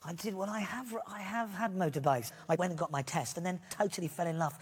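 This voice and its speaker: A man's voice: sits high, fast, husky. Chatty man telling self-mocking stories. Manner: self-deprecating storyteller, chatty. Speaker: guest. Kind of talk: chat show anecdotes. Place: TV studio. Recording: long studio reverb, faint noise.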